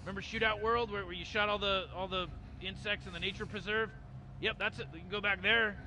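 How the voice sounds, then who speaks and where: A fast-talking, smug old mad scientist, played by a man, rambling through his schemes with dismissive confidence. His voice sits fairly high and rushes along. Loud traffic and street noise run underneath. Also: fairly even intonation. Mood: breezy. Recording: noisy outdoor recording with traffic.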